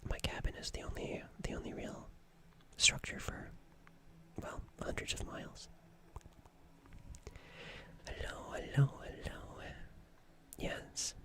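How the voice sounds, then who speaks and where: A performer playing a gentle, nervous alien in a whispered ASMR roleplay, speaking in a breathy, hesitant, stammering and soothing way. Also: takes it slowly, long pauses. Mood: reassuring, concerned. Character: gentle, nervous alien caring for an injured human.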